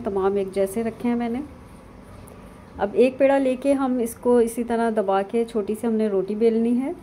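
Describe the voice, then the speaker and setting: A woman, calmly narrating a cooking lesson step by step over video of her hands at work. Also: fairly high pitch, slight background noise. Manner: calm, instructive, with frequent fillers. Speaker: cooking channel host. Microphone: voice-over over hands-only video.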